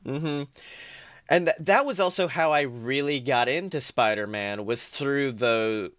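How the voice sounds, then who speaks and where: A man co-hosting a casual podcast, talking excitedly, swearing freely and laughing often. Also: medium pitch, unhurried, no room sound, clean studio sound. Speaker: podcast co-host. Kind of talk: casual pop-culture chat.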